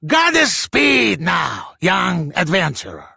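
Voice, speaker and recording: An actor playing a pompous, eccentric monarch in a video game, with florid, formal phrasing. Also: male voice, high voice, huge pitch swings, slow pace, vocal fry, pushed and bright, slightly rough, no echo, studio quality, exaggerated voice. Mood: grand gratitude. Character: grateful pompous eccentric monarch, grandly theatrical. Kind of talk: theatrical video game acting.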